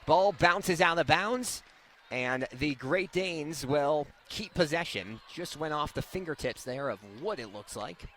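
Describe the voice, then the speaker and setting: Bright, high-pitched young male play-by-play announcer. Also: a little slow, a little hiss or hum. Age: young adult. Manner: excitable, swelling on big plays. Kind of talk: live basketball play-by-play commentary. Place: courtside at indoor basketball game.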